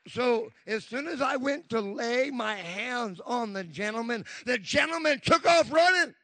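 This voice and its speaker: High, bright-voiced preacher man in his fifties. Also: expressive, unhurried. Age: 50s. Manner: emphatic, call-and-response asides. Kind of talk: Pentecostal sermon.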